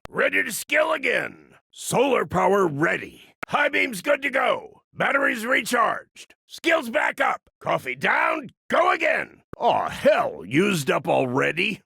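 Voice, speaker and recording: A man voicing a gruff, cocky, aging cyborg fighter in a video game, a folksy wisecracking old-timer with a gravelly rasp and a drawling delivery. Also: sits high, sharp tone, dry recording, clean studio sound, full character voice. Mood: boisterous taunting, then grumbling. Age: older. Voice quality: gravelly, raspy. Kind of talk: video game character voice lines.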